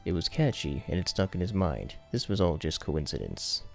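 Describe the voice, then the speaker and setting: A man narrates an audiobook in a very deep voice with heavy vocal fry. His serious, dramatic reading moves through a wide range of pitch. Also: big pitch swings, moderate pace, soft background music.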